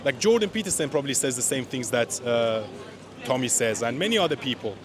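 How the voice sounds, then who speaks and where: An earnest man from Iran speaks his mind in an outdoor interview at a street rally. His pitch is mid-range for a man. He is opinionated and often breaks off to restart a phrase. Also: expressive, fairly quick, crowd noise.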